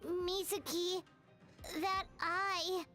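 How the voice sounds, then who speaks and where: An actress playing a tiny, plucky, excitable fairy companion with a squeaky childlike voice in a video game. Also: female voice, drawn-out words, faint music behind, heavily acted. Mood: alarmed. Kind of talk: scripted video game voice acting.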